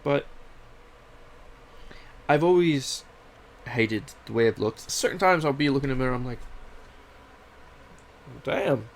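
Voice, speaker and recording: A young man from Canada talks in a candid, rambling way, his accent drifting between British and Canadian. His voice carries a gravelly, fry-edged texture, and a faint ambient hiss sits behind him. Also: medium pitch, unhurried, long pauses. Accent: British mixed with Canadian. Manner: candid, rambling, self-deprecating. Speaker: podcast host. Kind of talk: casual personal monologue.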